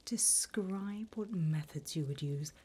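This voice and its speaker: Slow whispering demon interviewer played by a woman. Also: on the low side, warm tone, a little hiss or hum. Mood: sly, businesslike. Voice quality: breathy whisper. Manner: slow, deliberate, mock-formal. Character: polite, devilish female demon job interviewer in hell. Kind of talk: whispered ASMR roleplay.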